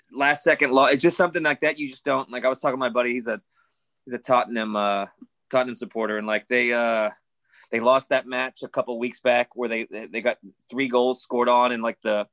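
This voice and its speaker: A man's voice: medium pitch, steady tone, a little fast, a bit bright. A man chatting casually, rambling with many restarts and slang. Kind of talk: casual chat.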